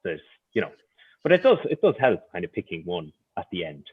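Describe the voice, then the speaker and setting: A man's voice: deep voice, very animated, brisk, breathy edge. A man with an Irish accent, talking casually on a panel about teaching Irish, with plenty of fillers. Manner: hesitant, lots of fillers. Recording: tinny phone-like audio.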